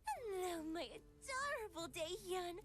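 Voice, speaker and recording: Doting girlish game character, extremely high, gushy, clicky background noise. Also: lively intonation, a little slow. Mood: adoring. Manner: gushy, effusive. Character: gushing, doting, very girlish young woman.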